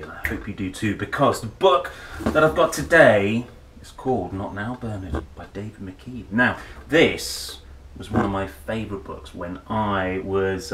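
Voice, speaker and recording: A man in his thirties reading a picture book with playful, chatty asides and dramatic pauses. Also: lowish voice, a bit bright. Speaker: storyteller and host. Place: small wooden room or shed. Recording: roomy echo, faint background noise.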